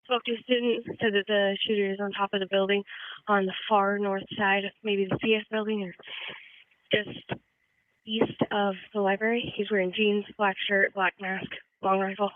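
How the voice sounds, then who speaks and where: A woman's voice: medium pitch, level tone, normal speed, dry recording. Thin radio-band sound: female police operator, level delivery, clipped reporting, dry. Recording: phone-like police scanner audio.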